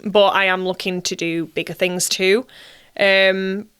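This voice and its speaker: A woman's voice: mid-range voice, flat delivery, unhurried, fairly bright tone, clear, resonant tone. A British woman chatting casually and enthusiastically as an interview guest.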